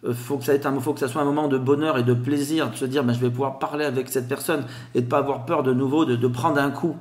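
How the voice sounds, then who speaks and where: A man with a slight Grenoble accent speaks in a lively, sing-song manner as a podcast guest. His voice sits at an ordinary pitch. The room adds a clear echo around him. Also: quick pace. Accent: Grenoble French. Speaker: guest, telemarketing expert. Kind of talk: podcast interview.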